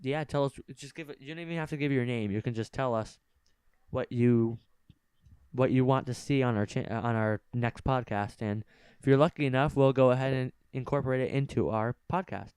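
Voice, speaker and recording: A man's voice: medium pitch, a little fast, darkish tone, smooth, dry recording. A young man co-hosting a casual podcast, explaining things plainly into a game controller microphone. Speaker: podcast co-host. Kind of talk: casual improvised podcast chat. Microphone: game controller mic.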